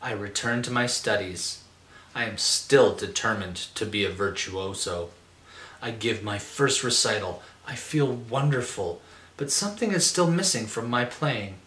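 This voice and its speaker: A man's voice: fairly low pitch, expressive, unhurried, fairly bright tone. Middle-aged man reading in an echoey room. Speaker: storybook reader. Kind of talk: expressive read-aloud of a children's picture book. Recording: roomy echo, faint music behind.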